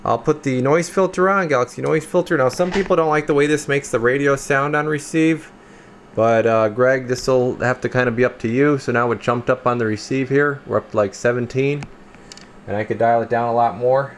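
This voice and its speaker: A man's voice: medium pitch, fairly quick, a little hiss or hum. A man hosting an informal hands-on demonstration, talking viewers through each step as he works, sometimes half muttering to himself. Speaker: host of a radio repair and test video channel. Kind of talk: casual hands-on demonstration, talking while working.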